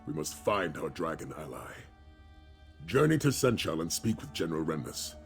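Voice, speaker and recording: A stern, composed warrior captain from a fantasy video game. His voice is very deep, with a solemn, commanding delivery. Light music runs behind the words. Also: big pitch swings, normal speed, creaky, heavily acted. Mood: stern, urgent. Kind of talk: scripted video game character dialogue.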